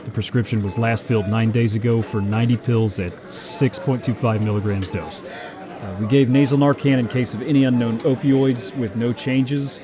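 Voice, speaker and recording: A man's voice: medium pitch, steady tone, a crowd in the background, close to an everyday voice. A man playing a clinical paramedic. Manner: clinical, brisk, matter-of-fact. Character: male paramedic giving a calm handoff report. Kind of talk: horror audio roleplay.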